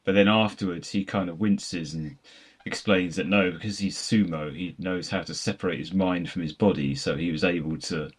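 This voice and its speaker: A man's voice: low voice, fairly even intonation, normal speed, husky. A man chatting casually as a panelist on a film discussion, rambling through anecdotes. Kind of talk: casual chat.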